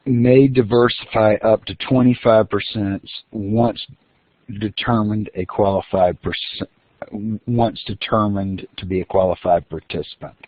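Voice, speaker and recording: A man's voice: lowish voice, steady tone, unhurried, no echo. A man giving a recorded lecture as the instructor, explaining step by step with fillers and restarts. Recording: dry, phone-like lo-fi audio.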